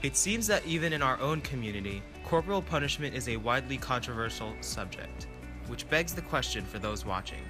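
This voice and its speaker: A man's voice: medium pitch, expressive, a little fast, over music. A man presenting with scripted narration.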